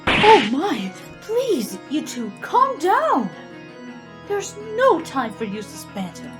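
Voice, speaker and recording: Woman playing high-voiced, proper peacemaking spirit. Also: huge pitch swings, slow pace, music in the background, exaggerated voice. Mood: pleading. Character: polite, peacemaking female spirit witness. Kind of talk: amateur audio drama acting.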